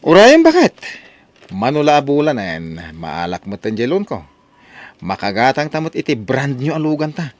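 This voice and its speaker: A man's voice: medium pitch, wildly swooping pitch, moderate pace. A man playing a boastful, envious husband in an Ilocano audio drama, exclaiming cockily with an Ilocano accent. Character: boastful, envious husband egging on his wife.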